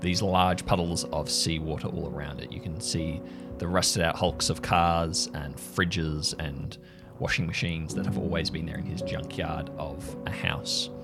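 Male game master, low register with fry, halting descriptive narration, music behind. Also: little pitch movement. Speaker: game master of an improvised audio drama. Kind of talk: improvised scene narration. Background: music under the voice.

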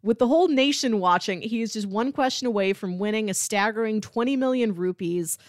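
A woman co-hosting a podcast in a casual, informal chat, describing things in a conversational and animated way. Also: on the high side, a little fast, no echo, studio quality. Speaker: podcast co-host. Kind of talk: casual chat, pitching movies.

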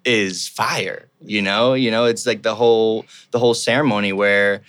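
A man's voice: on the low side, steady tone, normal speed, fairly bright tone, clear, resonant tone, dry recording. A man hosting a casual interview, asking upbeat questions with frequent fillers. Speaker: host.

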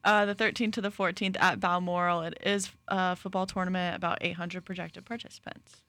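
A woman's voice: on the low side, flat delivery, brisk, bright, forceful tone, no room sound. A woman co-hosting a radio sports show, reading event listings, giggling often and joking about herself. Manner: giggly, self-deprecating. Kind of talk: casual radio chat.

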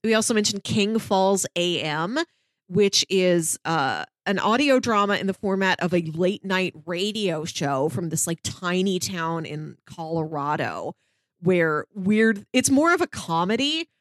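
A woman's voice: lowish voice, animated, a little slow, dry recording, clean studio sound. Enthusiastic, chatty woman podcast co-host, closely recorded. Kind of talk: casual review chat, recommending audio dramas.

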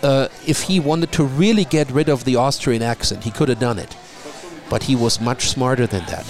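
A middle-aged man from Germany with a German accent, talking in a relaxed conversation and often repeating a word as he starts a thought. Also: medium pitch, moderate pace. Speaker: guest. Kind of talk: casual conversation.

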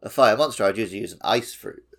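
A man's voice: lowish voice, fast, gravelly. A man co-hosting a gaming podcast, chatting in an informal, conversational way. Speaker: podcast co-host. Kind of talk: casual video game discussion.

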